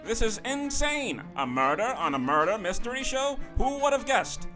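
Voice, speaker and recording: A high, bright male actor's announcer voice, excitable and hammy. He hosts a radio mystery with tongue in cheek, over faint music. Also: normal speed, heavily acted. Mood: mock excitement.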